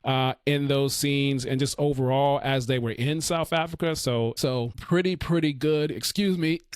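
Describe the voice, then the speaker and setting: A male podcast host talks through a film review in a loose, rambling way, leaning on fillers and restarting his sentences. His voice sits in a middle register and is starting to go hoarse. The sound is dry and close, with no room echo. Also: mid-range voice, fairly even intonation, moderate pace. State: losing his voice. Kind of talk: casual solo film review.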